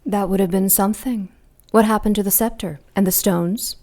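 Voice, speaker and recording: A woman playing a vengeful supernatural villain in an audio drama, poised and probing, with quiet menace under her politeness. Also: mid-range voice, fairly even intonation, normal speed, lightly acted. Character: Lilith, menacing vengeful female supernatural villain posing as friendly.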